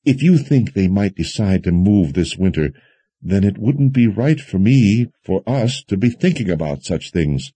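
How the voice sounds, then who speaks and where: An awkward, earnest young male mage as a man narrating an audiobook plays him. He speaks politely and haltingly, pitched above the narration, with a soft husky edge. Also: big pitch swings, no room sound, exaggerated voice. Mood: flustered. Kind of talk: audiobook character dialogue.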